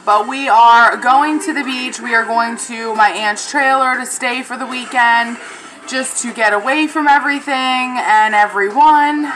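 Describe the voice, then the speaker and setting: A woman's voice: fairly high pitch, pushed and bright, slight background noise, poor audio. A woman in her twenties giving a rambling, casual vlog update from her home kitchen, filming herself on a phone held close. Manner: chatty, rambling, lots of fillers. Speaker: family vlogger. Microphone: phone camera held close.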